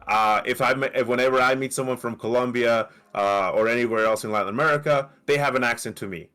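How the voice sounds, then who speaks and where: A man with a light Mexican accent who co-hosts a podcast. He argues in a casual, animated way, often restarting and asking "right?". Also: medium pitch, quick pace, clean tone. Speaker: podcast co-host. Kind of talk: casual debate.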